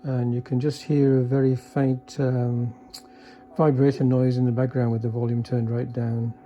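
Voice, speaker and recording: A man's voice: medium pitch, little pitch movement, moderate pace, lo-fi sound. A man giving a casual, unscripted demonstration as an electronics hobbyist, talking in a hesitant, understated and technical way. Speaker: electronics hobbyist demonstrating a repaired vintage car radio.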